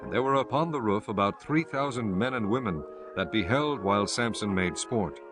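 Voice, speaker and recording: Casting: male narrator for dramatized scripture, lower register, light music bed. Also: lively intonation, normal speed.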